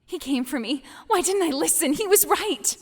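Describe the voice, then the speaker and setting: Woman acting haunted desperate lady in an audio drama, high pleading voice, tons of echoey reverb. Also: expressive, normal speed. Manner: pleading, fragmented. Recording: long cavernous reverb.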